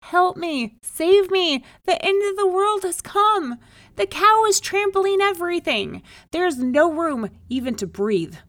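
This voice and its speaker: A woman's voice: a little high, measured pace, few pauses, darkish tone, no room sound. A woman voicing a poor, frantic man in a storybook, pleading and exclaiming melodramatically. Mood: panicked shrieking. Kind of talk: storybook read-aloud character.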